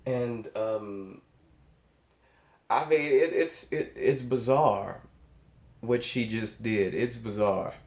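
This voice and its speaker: A man's voice: mid-range voice, slow, pauses often, darkish tone, reverberant. A man giving casual, awestruck reaction commentary as a host, emphatic and often repeating phrases for effect. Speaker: host of a music reaction show.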